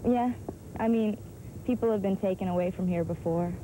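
Young female interviewee, very soft dark tone, medium pitch, faint outdoor noise. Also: moderate pace, rough recording. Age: young adult. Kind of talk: casual interview answers. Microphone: handheld interview mic.

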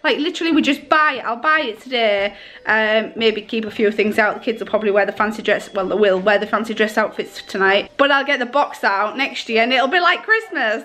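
A woman, chatting casually to a handheld camera with a croaky voice from a cold, rambling with lots of ums. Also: high-pitched, pushed and bright. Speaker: family vlogger. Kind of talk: casual vlog chat to camera. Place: home kitchen, then shops. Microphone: handheld camera at arm's length.

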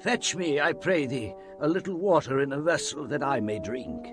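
An actor playing a solemn, fervent Hebrew prophet in a dramatized Bible, declaiming in archaic scriptural phrasing. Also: male voice, a little high, lively intonation, moderate pace, soft background music, natural voice. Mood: calling out.

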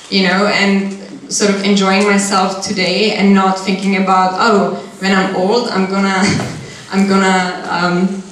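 A woman's voice: medium pitch, a bit bright, a little hiss or hum. A young woman, a guest speaker from Austria, answering audience questions after a talk in a candid way, thinking aloud with restarts, on a handheld mic in a large seminar room. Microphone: handheld mic, far camera. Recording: echoey room, distant mic.

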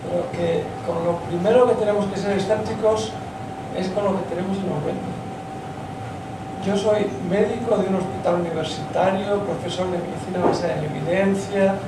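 A man in his fifties with a Spanish accent speaking up from the audience, blunt and emphatic, swearing now and then. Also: sits high. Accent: Spain. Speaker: audience member, doctor. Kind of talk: impassioned audience comment. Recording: echoey pub from far off, noisy.

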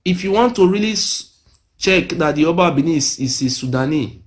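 A man's voice: on the high side, expressive, fairly quick. A middle-aged Nigerian man with a Nigerian accent, speaking emphatically to a phone camera in a small room, repeating his claims insistently. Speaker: self-made video speaker. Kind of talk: impassioned monologue to camera. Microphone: phone or webcam close to face.

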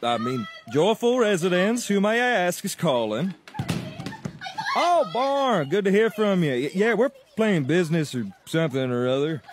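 A clumsy, good-natured mailman puppet from a cartoon, with a Southern American drawl. His pitch sits high and swings around as he rambles and stumbles over his words. There is some noise in the recording. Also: very animated, normal speed. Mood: chatty. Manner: folksy, stammering, rambling.

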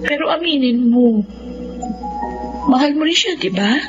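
A woman's voice: sits high, animated, a little slow. A woman playing a caring, concerned young cousin in a Filipino radio drama, probing and sympathetic. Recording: muffled radio drama audio under music.

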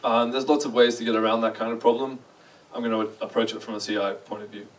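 Young Australian guy on a stage, relaxed and self-mocking, a bit distant from the mic in a large hall. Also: mid-range voice, fast talker. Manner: chatty, self-deprecating, asks the audience questions. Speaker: conference speaker. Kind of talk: casual conference talk. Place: large dark lecture hall.

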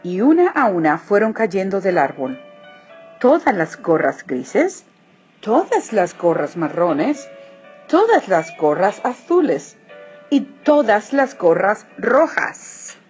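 A woman narrating a children's story expressively, with deliberate, dramatic phrasing. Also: medium pitch, sing-song, a little mellow. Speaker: storybook reader. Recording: muffled audio with music behind.

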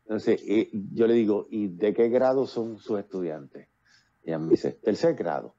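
Man in his 50s, deep and dark, Puerto Rican accent. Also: moderate pace, highs cut off. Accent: Puerto Rican Spanish. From Puerto Rico. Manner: talkative, with many fillers and restarts. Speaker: playwright and actor, guest. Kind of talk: casual interview over video call. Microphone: earphones with built-in mic on a video call.

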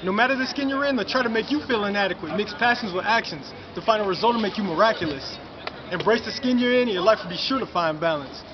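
A young man delivers rhyming spoken word poetry in a quick, high, driving voice. He is recorded outside on a city street, and the sound is dull with clear traffic noise behind him. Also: animated. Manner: rhythmic, rhyming, building to forceful delivery. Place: outdoors in front of a city mural.

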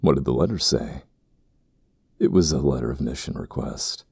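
An actor playing a stern, ambitious temple priest in a fantasy audio drama, speaking formally and gravely with a husky, gravelly voice. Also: male voice, rumbling low, moderate pace, a little mellow, dry recording, clean studio sound, full character voice. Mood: proud, grave. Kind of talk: fantasy audio roleplay acting.

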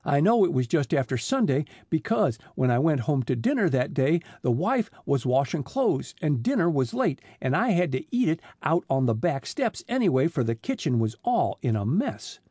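A man voicing a slow-witted, long-winded middle-aged truck driver who talks in a plodding, folksy way. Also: a little high, very animated, dark tone, breathy edge, dry recording, clean studio sound.

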